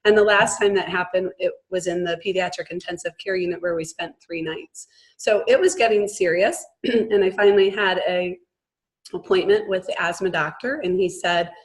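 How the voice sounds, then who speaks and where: A woman in her thirties with a hoarse voice, having mostly lost it, earnestly sharing her personal story as a guest on a business call. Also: lowish voice, steady tone, fairly quick, poor audio. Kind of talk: personal testimonial.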